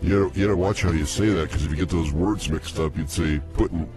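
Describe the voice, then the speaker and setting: A man's voice: low-pitched, a little fast, loud music behind the voice. A man co-hosting a show in casual, comic banter. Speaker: co-host running music and clips.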